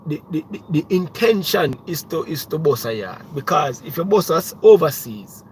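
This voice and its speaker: A man's voice: fairly high pitch, expressive, normal speed, a little hiss or hum, poor audio. A young Jamaican man, a music producer, talking casually on a livestream, rambling with many restarts and "you know what I mean.".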